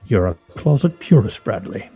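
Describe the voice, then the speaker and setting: A man's voice: fairly low pitch, sing-song, normal speed, vocal fry, mellow, breathy edge, lo-fi sound, faint music behind, exaggerated voice. An actor in a radio play playing a witty, pompous man nearing fifty, with ornate phrasing and wry, theatrical delivery. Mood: dryly sardonic.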